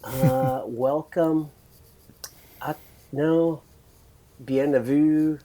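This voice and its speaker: Raspy-voiced chatty male podcast host. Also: a little high, steady tone, extremely slow, dark tone. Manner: chatty, rambling, laughs often. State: raspy voice from screaming at a concert. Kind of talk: casual interview chat.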